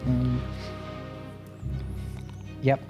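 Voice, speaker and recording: A man's voice: very slow, dull audio. A man chatting casually at the game table as a player in a role-playing game. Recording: music playing behind the voice. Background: music.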